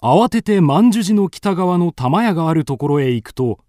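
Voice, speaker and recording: A man's voice: a little high, animated, moderate pace, dark tone, no room sound, studio quality. A man narrating a scripted ghost story in a polite storytelling manner.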